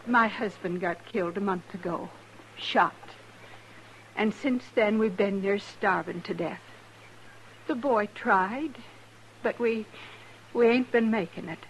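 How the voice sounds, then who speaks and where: An actress playing a humble, desperate homesteader widow with pleading, rustic speech in an old radio drama. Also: female voice, medium pitch, takes it slowly, long pauses, a little hiss or hum, poor audio. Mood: sad, humble. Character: anxious, humble, starving homesteader mother, recently widowed. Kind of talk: 1950s radio drama acting.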